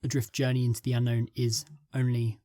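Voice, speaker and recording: A man's voice: mid-range voice, flat delivery, a little fast, warm tone, no echo, studio quality. A man being interviewed as a scriptwriter, answering in a coy, evasive way. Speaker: scriptwriter guest. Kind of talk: casual interview.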